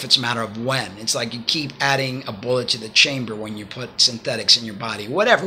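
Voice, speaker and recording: A man's voice: medium pitch, sharp tone, a little hiss or hum. A man in his fifties talking casually to camera in his living room, rambling and earnest as he gives advice. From Cuba. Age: 50s. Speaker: vlogger. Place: small home living room, indoors. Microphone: phone or laptop camera at arm's length.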